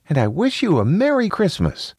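A man's voice: sits high, wildly swooping pitch, moderate pace, darkish tone, breathy edge, no room sound, clean studio sound, pitched above the performer's own voice, full character voice. A man voicing a small, curious, slightly uneasy boy in a children's story, asking things simply and earnestly. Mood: polite, surprised.

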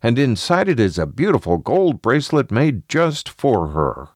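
A man playing a kind, calm father in a children's story, speaking gently and plainly to reassure his son. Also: mid-range voice, moderate pace, dry recording, clean studio sound, pitched above the performer's own voice. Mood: kindly, confiding.

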